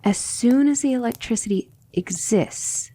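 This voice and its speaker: A woman playing a patient, exacting demonic magic tutor in an ASMR roleplay, speaking in a measured, formal and gentle way. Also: fairly high pitch, poor audio. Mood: careful instruction.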